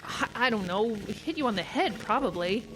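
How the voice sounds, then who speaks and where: A woman acting a brisk, brave, resourceful young adventurer in an audio drama. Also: medium pitch, animated, background noise, lightly acted. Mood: dry, determined.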